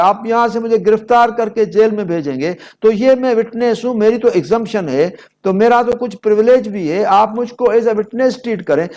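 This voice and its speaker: A man's voice: sits high, darkish tone. A man with a Pakistani accent lecturing on law, explaining didactically and switching between two languages as he acts out examples. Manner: didactic, acting out courtroom exchanges as examples. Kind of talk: explanatory law lecture mixing Urdu and English.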